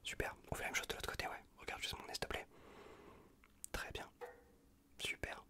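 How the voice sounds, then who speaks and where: Guy whispering asmr right up on the mic, bright breathy hiss, casual and reassuring exam roleplay. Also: brisk. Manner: hushed, reassuring, repetitive, casual slangy talk. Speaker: ASMR performer playing an untrained medic. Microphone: close USB condenser microphone.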